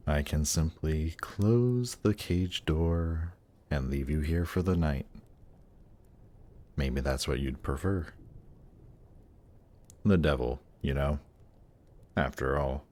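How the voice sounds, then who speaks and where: A man playing a suave, patient, seductive demon prince in a fantasy roleplay audio drama, speaking in a teasing, coaxing, measured way. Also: rumbling low, darkish tone. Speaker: voice actor. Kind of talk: slow, intimate roleplay audio drama performance.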